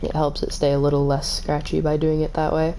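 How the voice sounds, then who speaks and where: Woman game streamer, slightly hoarse and scratchy, calm and low, dark gravelly tone. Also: brisk. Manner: calm, kept in her lower range. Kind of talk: casual gaming stream chat.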